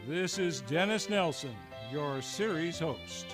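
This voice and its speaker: Male host, high-pitched, florid golden-age radio announcing, music underneath. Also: lively intonation, slow pace. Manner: grand, florid showman delivery. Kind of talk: theatrical old-time radio announcing. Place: radio show performed live in a theater.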